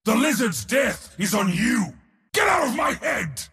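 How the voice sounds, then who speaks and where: An actor playing a merciless, boastful god of war in a video game, declaiming imperious taunts in a theatrical way. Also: male voice, very high-pitched, sing-song, a little slow, pushed and bright, shouting, exaggerated voice. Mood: furious command. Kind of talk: video game character voice lines.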